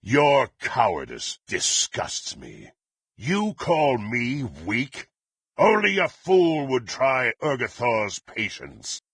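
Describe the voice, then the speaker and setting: Gravelly, menacing brute warrior. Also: male voice, medium pitch, huge pitch swings, takes it slowly, fairly bright tone, no echo, clean studio sound, heavily acted. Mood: cold, threatening. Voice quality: gravelly, raspy. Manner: threatening, imperious, archaic formal diction. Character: brutal, menacing half-orc warrior serving a demonic patron. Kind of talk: fantasy video game character acting.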